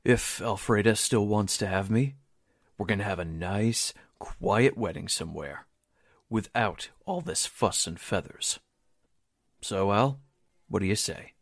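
A man's voice: fairly low pitch, unhurried, no echo, clean studio sound. A man acting an indignant, combative young soldier who scolds in folksy slang, in a 1940s-style radio drama. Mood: firm, earnest.

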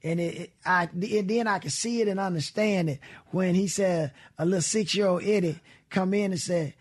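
A middle-aged man from with a raspy voice, telling stories in a relaxed radio interview. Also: sits high. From Mississippi. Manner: relaxed storytelling with Southern grammar and drawn-out pauses. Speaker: guest blues musician.

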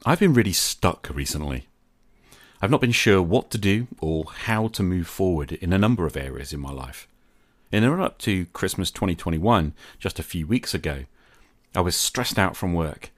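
Deep-voiced man hosting a reflective podcast. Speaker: podcast host. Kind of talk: reflective scripted monologue.

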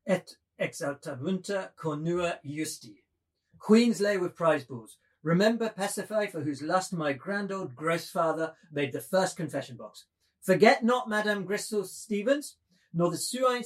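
A woman's voice: fairly low pitch, lively intonation, measured pace. A woman playing an erudite, drunken young man who declaims in a mock-liturgical manner in a radio-play reading. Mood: declaiming.

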